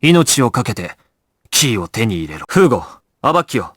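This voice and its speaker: A young gangster squad leader from an anime game. He is grave and decisive, issuing clipped orders in a mid-pitched voice. The sound is very dry and close. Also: big pitch swings, normal speed, fairly bright tone, breathy edge, dry recording. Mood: measured, reading orders, then grave, deliberate. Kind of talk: dramatic anime video game voice acting.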